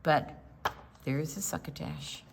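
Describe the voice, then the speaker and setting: A woman's voice: low-pitched, slow, creaky, a little hiss or hum. An older woman reading a picture book aloud to children at a library storytime.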